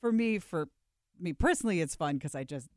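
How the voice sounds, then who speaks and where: A woman's voice: lowish voice, lively intonation, brisk, dry recording. A woman speaks as an actress in a casual interview, wry and emphatic. The recording is close and dry, with no room around her voice.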